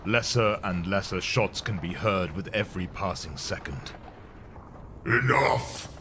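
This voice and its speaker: A man's voice: low-pitched, normal speed, fairly bright tone, slight background noise. A man giving a dramatic first-person narration of a story.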